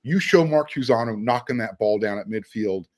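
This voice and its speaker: A man's voice: fairly low pitch, lively intonation, moderate pace, a little mellow. An American man hosting a sports podcast, chatting casually and emphatically, repeating phrases for stress. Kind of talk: casual sports interview chat.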